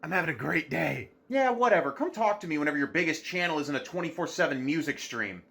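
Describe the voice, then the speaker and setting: A man acts out a spurned streaming platform as a petty, needy character. He is sarcastic and whiny, easily outraged, with very animated pitch. It plays as improvised online sketch comedy. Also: fast talker, vocal fry. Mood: smug, mocking.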